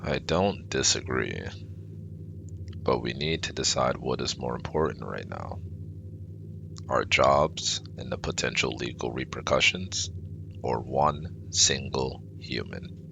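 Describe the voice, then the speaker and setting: A man's voice: extremely low pitch, expressive, slow, creaky, pushed and bright, slight background noise, exaggerated voice. A man voicing a formal, cautious but kind male alien with precise, stiff wording in a sci-fi ASMR roleplay. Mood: stern, worried.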